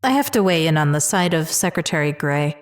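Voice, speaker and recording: Woman playing a lively, low-voiced doubting scientist. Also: a little fast. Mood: doubtful. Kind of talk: dramatic audio fiction acting.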